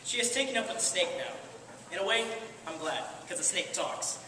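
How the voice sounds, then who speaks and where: A woman's voice: fairly low pitch, expressive, brisk, close to an everyday voice. Grumpy young Adam, swooping indignantly played by a woman. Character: grumpy, exasperated young Adam, first man. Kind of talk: stage play dialogue, theatrical delivery. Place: amateur stage performance in a large hall. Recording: echoey hall from far off, noisy.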